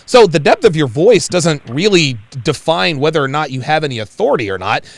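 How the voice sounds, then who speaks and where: A man hosting a call-in advice show, talking in an emphatic, animated way and often repeating himself. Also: fairly high pitch, brisk, creaky, no room sound. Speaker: show host.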